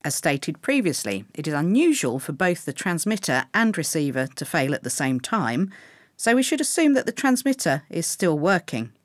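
Woman, instructional narration, clear enunciation, varied intonation, dry studio sound. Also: sits low, sing-song, moderate pace, no room sound. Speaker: narrator of a pilot training course.

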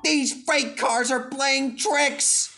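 A man's voice: very high-pitched, steady tone, extremely slow, creaky, sharp tone, soft background music, pitched above the performer's own voice, heavily acted. A man voicing a grumpy, put-upon steam engine with a strained, complaining manner in a children's story. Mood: exhausted, desperate.

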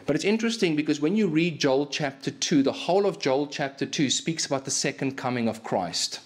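A man's voice: fairly high pitch, animated, a little fast. A man preaches a sermon in a small church hall. His is Afrikaans, and he talks animatedly into a stand microphone. His thoughts tumble out with frequent restarts. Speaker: pastor. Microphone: stand mic in front of him.

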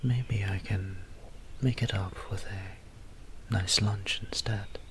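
A man playing a soft-spoken, melancholy vampire priest in an ASMR roleplay, musing in formal, old-fashioned words with a breathy near-whisper. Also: lowish voice, steady tone, slow pace, slight background noise. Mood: wistful, musing. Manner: formal, archaic, musing diction.

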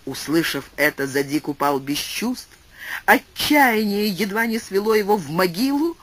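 A man reading a classic philosophical tale aloud as an audiobook narrator, with expressive, swooping delivery and shifting pace. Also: sits high, a little slow, a little hiss or hum. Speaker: audiobook reader.

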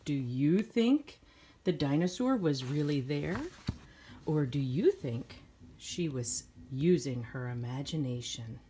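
A woman's voice: low voice, expressive, slow, warm tone, slight background noise. A middle-aged woman reading a children's picture book aloud expressively, with simple phrasing for young listeners.

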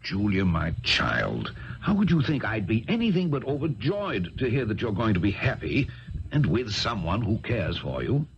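A man's voice: fairly low pitch, huge pitch swings, moderate pace. A man acting in a 1970s radio drama, playing an imposing stepfather with a theatrical, paternal manner. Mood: indulgent, smooth. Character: imposing stepfather, outwardly indulgent, English country doctor. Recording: dull noisy old radio recording.

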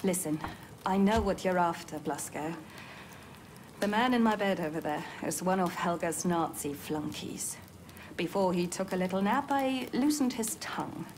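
An actress playing a wry, foul-mouthed British resistance agent posing as a nurse in a video game, brisk, dry and cheeky. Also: female voice, fairly low pitch, lively intonation, darkish tone, a little hiss or hum, natural voice. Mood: hushed, businesslike. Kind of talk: video game cutscene acting.

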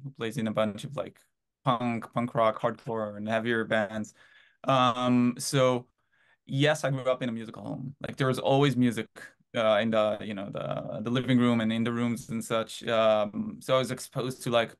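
A man in his thirties from Israel, telling his story in a casual interview over a video call from his home, rambling with lots of fillers. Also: medium pitch. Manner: rambling, animated anecdotes with many fillers. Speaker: band drummer, guest. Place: home room.

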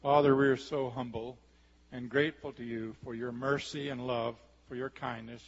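An older man, a pastor, leading a solemn, measured prayer before a congregation. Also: mid-range voice, fairly bright tone. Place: large church sanctuary stage. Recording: lo-fi camcorder recording in a reverberant hall.

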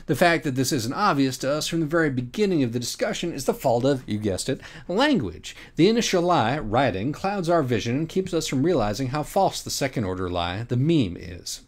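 A man's voice: mid-range voice, big pitch swings, fairly quick, hardly any pauses. A man reading an audiobook as a dramatic rant, emphatic and sardonic in manner. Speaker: audiobook reader. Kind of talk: dramatic first-person reading of a mock-philosophical rant.

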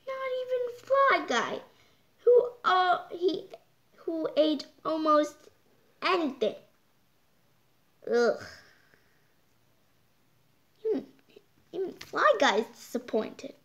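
A child reading a picture book aloud, halting and stumbling over words, with exclaimed side comments. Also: high voice, sing-song, fairly bright tone. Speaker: storytime reader. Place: indoors, hands holding book before the camera.

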